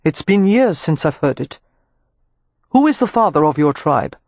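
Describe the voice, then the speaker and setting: A woman's voice: deep voice, very animated, normal speed, darkish tone, no echo, full character voice. Woman doing a curt, aloof young club owner. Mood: curt, cool. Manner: curt and dismissive.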